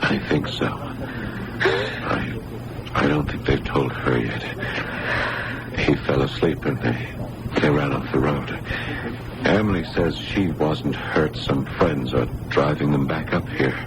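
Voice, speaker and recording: A man acting in a 1980s radio drama as an earnest doctor who researches the paranormal and gets halting and rattled. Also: a bass voice. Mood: grave, concerned. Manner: halting, stammering under pressure. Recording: noisy old radio broadcast recording.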